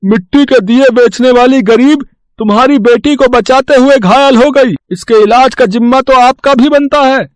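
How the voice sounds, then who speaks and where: A man's voice: very high voice, normal speed, dry recording. A man playing an indignant, scolding bystander in a moral story, with an accusing, emphatic manner.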